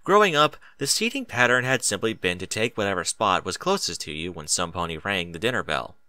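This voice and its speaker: Bright, forceful male story narrator. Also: low voice, very animated, a little fast, dry recording. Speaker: narrator of a fan fiction reading. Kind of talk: expressive scripted story narration.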